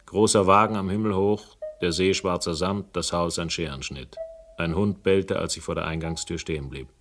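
A man playing a brooding investigator who narrates a radio crime drama in an atmospheric, deliberate, literary manner. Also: sits low, steady tone, fairly bright tone, faint music behind. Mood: ominous. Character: brooding male investigator narrating his noir adventure. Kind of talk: scripted first-person radio crime narration.

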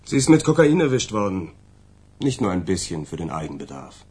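An actor playing a smug federal agent in a radio crime drama, insinuating and coolly menacing. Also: male voice, low voice, very animated, fairly quick, a little mellow, husky, full character voice. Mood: coldly threatening.

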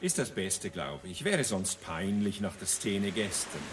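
An actor playing a sly, chummy hotel guest gossiping in an unhurried, insinuating way in a German radio play. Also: male voice, low-pitched, big pitch swings, background noise. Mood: sly, amused.

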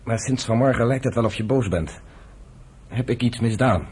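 An actor playing a proud wounded fighter, probing and earnest, in a radio drama scene. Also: male voice, mid-range voice, moderate pace, a little mellow, breathy edge, close to an everyday voice. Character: wounded fighter, proud, questioning his lover.

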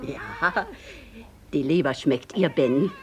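An actress in a radio play voicing an excitable, easily horrified butcher's wife who exclaims theatrically. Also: female voice, low-pitched, slow pace, muffled recording, exaggerated voice. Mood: contented. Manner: shrieking, theatrically aghast exclamations.

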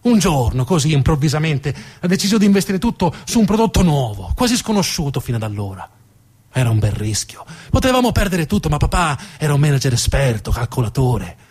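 A man delivering a dramatic narrative theatre monologue with emphatic, deliberate phrasing. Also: fairly high pitch, sing-song, a little mellow, breathy edge. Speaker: stage storyteller, actor.

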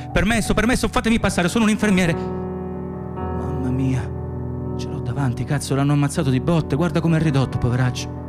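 An actor playing an exhausted, anxious immigrant nurse in a radio play, speaking in fragmented, repetitive phrases. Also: male voice, mid-range voice, lightly acted. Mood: shocked, distressed. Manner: fragmented, self-muttering, repetitive. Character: exhausted, anxious Moroccan immigrant nurse, man, muttering inner thoughts. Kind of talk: radio theatre interior monologue and dialogue.

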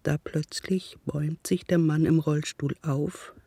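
Slow, husky, brooding old man. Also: a little high, steady tone, dark tone, dry recording, full character voice. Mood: brooding, grim. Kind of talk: radio drama inner monologue.

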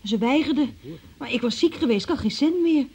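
A woman's voice: high-pitched, animated, brisk, darkish tone. An actress playing a timid young female shop worker under questioning in a radio drama, hesitant, meek and defensive.

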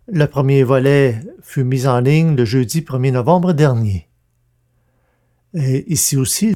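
Male podcast host, slightly high register, scripted announcements. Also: a little mellow.

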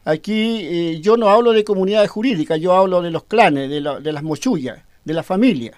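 A man's voice: high-pitched, brisk, dry recording. A man from Chile, a Mapuche cacique, answering interview questions firmly, often repeating and stumbling over words.

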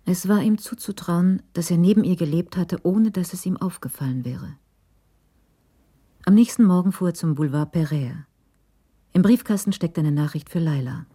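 Low, mellow woman narrator. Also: expressive, normal speed, slightly rough. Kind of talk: scripted narration of a radio crime drama.

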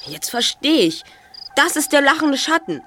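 Woman as a clever, animated boy detective. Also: sits high, moderate pace. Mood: eager, deducing. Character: clever, slightly chubby teenage boy detective, sure of himself. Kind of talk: audio drama acting. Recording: faint background noise.

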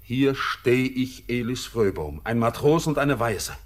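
An actor playing a haunted, defiant young orphaned sailor in a solemn radio drama, declaiming haltingly and with anguish. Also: male voice, mid-range voice, lively intonation, lightly acted. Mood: anguished pleading.

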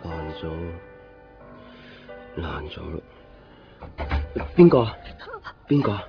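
A man's voice: deep voice, big pitch swings, takes it slowly, full character voice. A man playing a weary, lonely shopkeeper who narrates a radio drama in a colloquial, brooding way, with a Hong Kong Cantonese accent. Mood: wary. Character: weary, lonely male copy-shop keeper. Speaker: lead actor and first-person narrator. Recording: dull lo-fi radio recording, music behind.

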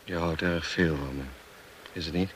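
A man acting a tormented, bitter husband in a Dutch radio play, speaking gravely with dramatic pauses. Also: deep voice, steady tone, a bit bright. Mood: resigned, weary. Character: tormented, bitter husband confessing to his young wife. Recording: noisy old radio play recording.

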